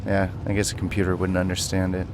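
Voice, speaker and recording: A man's voice: low-pitched, completely flat delivery, fast talker, noisy background. A man giving casual, playful gameplay commentary as a video host, bantering as he goes.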